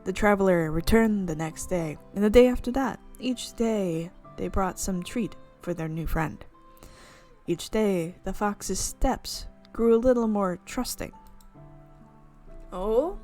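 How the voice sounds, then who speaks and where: A woman streamer reads a visual novel's narration aloud with an expressive, playful delivery. Her pitch sits in the middle, and faint game music plays behind her. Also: slow pace. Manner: expressive reading with playful reactions.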